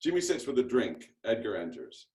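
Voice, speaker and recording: A man's voice: medium pitch, sing-song, normal speed, a little mellow. A man reading scripted stage directions as narrator at a table read over a video call. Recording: echoey room on a video call.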